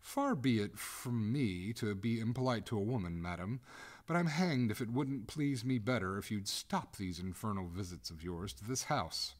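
A man playing an exasperated old-fashioned gentleman. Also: lowish voice, expressive, normal speed, lightly acted. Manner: stiffly polite, exasperated complaining. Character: indignant gentleman, master of a haunted hall.

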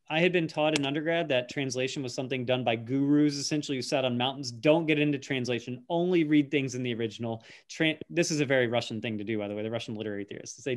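A man's voice: mid-range voice, a bit bright, a little hiss or hum. A man moderating a panel discussion over a video call, chatty and asking questions.

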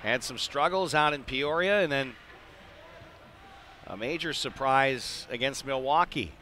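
A man with a bright, forceful, medium-pitched voice calls a hockey game live, radio style. He speaks in short clipped phrases and drops in chatty asides. Faint rink noise sits behind him. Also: measured pace. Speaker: play-by-play hockey announcer. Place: indoor ice arena.

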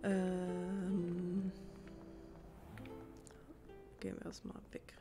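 A woman's voice: on the low side, monotone, extremely slow. A woman giving casual gameplay commentary, rambling and thinking aloud as she plays. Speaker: let's player. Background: game music.

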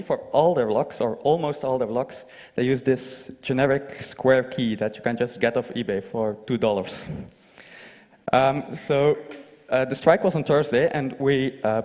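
A man's voice: mid-range voice, darkish tone. Young Flemish man in a reverberant hall. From Flanders, Belgium. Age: young adult. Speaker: conference speaker. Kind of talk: informal lightning talk to an audience. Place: large lecture hall, indoors. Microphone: handheld microphone.

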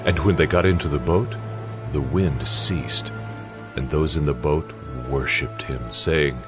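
A man's voice: very deep voice, measured pace. A man giving a dramatic scripted scripture narration. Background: music.

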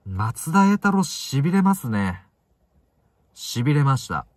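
A man's voice: mid-range voice, very animated, slow, no room sound, clean studio sound. A man in his twenties giving casual solo football commentary, stressing the starts of phrases and then trailing off. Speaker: football fan vlogger. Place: inside a parked car.

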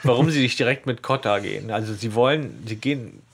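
A man talks casually as a podcast host. His voice sits at a middle pitch. He feels his way through a retelling, often halting and rewording. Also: creaky, husky. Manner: halting, rephrasing as he goes. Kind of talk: casual discussion retelling a story.

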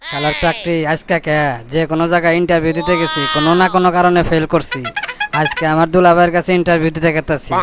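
A man's voice: fairly high pitch, quick pace, over music, natural voice. A man voicing a cocky, hot-tempered young brother-in-law in a comic cartoon, with colloquial Bangladeshi speech and a cheeky, grumbling manner. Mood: hopeful, muttering.

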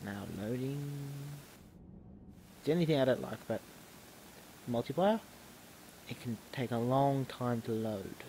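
A man's voice: mid-range voice, measured pace, pauses often, mellow, rough recording. Snuffly man rambling through game commentary. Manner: rambling, self-correcting, restarts words. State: stuffy and snuffly from a cold. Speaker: gamer commentating his own match. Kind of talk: casual gameplay commentary.

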